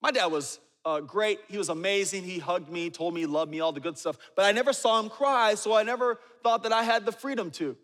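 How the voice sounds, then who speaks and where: A man in his forties preaching a sermon, telling stories with humor and dropping low and quiet for asides. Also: fairly quick, hardly any pauses. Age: 40s. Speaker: pastor. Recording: reverberant hall.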